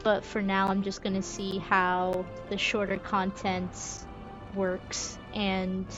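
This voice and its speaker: A woman's voice: medium pitch, completely flat delivery, slow, lo-fi sound. A woman game streamer giving casual live commentary, musing aloud as she thinks things through. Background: music.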